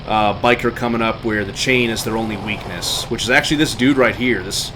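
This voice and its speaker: A man's voice: fairly low pitch, a little fast. A man giving casual live commentary as he streams a game. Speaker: game livestreamer. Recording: game engine noise behind voice. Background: game vehicle sounds.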